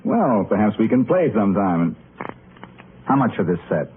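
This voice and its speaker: An actor playing a polite, cultivated doctor with formal manners in an old radio drama. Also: male voice, on the low side, big pitch swings, moderate pace. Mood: polite, curious. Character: polite, curious male doctor, cultivated chess enthusiast. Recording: noisy, narrow-band old radio recording.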